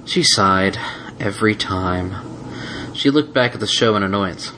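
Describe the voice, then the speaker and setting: Man, lowish pitch, storybook narration, audiobook reader, faint background noise. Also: a little slow.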